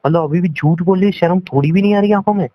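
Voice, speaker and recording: A man's voice: high-pitched, fast, no echo. A young man arguing on a phone call in an accusing, scolding and repetitive way. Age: young adult. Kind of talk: heated relationship argument in casual Hindi-English talk. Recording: dull lo-fi call recording.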